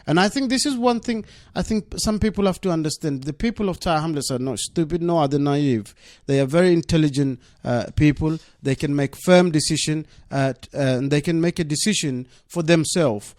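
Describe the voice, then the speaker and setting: A man whose first language is Bengali speaks as a guest on a radio interview, earnest and insistent, often leaning on "obviously". His voice sits on the higher side for a man. The studio sound is close and dry, with no room around him. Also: a little fast, a little mellow, no echo. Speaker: deputy mayor, studio guest.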